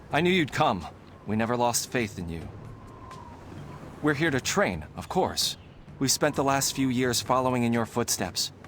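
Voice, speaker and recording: Man acting an overeager, wildly expressive martial arts disciple. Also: medium pitch, slight background noise, natural voice. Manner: grandiose, theatrical, fawning. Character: overeager devoted young male martial arts disciple, earnest and dramatic. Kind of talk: video game character acting.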